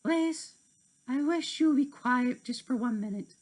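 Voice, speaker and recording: Woman acting out young female fairy, very high pitch, earnest, pleading, dramatic, children's storybook. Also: measured pace. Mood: wishful, irritated. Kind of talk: children's storybook character reading.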